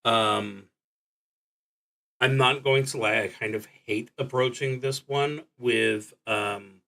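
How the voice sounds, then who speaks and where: Slow-talking man with a bright, forceful voice. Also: medium pitch, steady tone. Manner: halting, thinking aloud, trails off. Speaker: solo tabletop roleplaying streamer. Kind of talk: casual livestream explanation of game rules.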